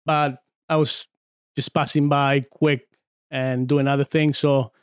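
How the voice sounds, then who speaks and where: A man's voice: mid-range voice, level tone, measured pace, clear, resonant tone, no room sound. A man in his forties from Argentina, a podcast guest chatting casually in a studio, telling stories with many fillers and restarts. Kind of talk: casual chat.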